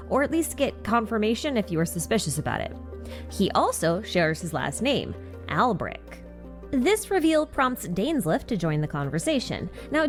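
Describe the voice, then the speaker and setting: Animated woman narrating a lore recap. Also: mid-range voice. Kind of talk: conversational scripted narration.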